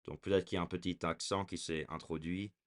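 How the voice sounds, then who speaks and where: Hesitant young man with a very low voice. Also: steady tone, fairly quick. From raised in America. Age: 20s. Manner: hesitant, many fillers and restarts. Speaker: vlogger. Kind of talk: casual talking to camera.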